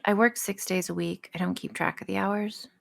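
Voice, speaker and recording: A woman's voice: medium pitch, fairly even intonation, normal speed, gravelly, a bit bright. A woman voice actress chatting casually and candidly as an interview guest, frank, self-deprecating and free with swearing. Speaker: voice actress and audio creator being interviewed.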